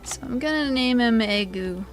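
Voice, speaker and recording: A woman's voice: a little high, expressive, moderate pace, over music. A woman hosting a gaming video, giving casual let's play commentary with playful, dry joking asides.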